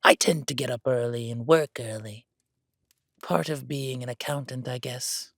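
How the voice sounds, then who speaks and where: A man's voice: mid-range voice, fairly even intonation, moderate pace, creaky, dry recording, studio quality, lightly acted. A man playing a smug, condescending noble envoy with a haughty, mock-polite and theatrical manner in an audio drama. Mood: slyly polite.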